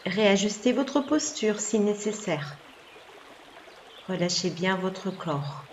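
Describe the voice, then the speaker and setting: A woman yoga nidra instructor giving soft guided relaxation instructions in a calm, soothing, unhurried manner with long pauses. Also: mid-range voice, a little hiss or hum.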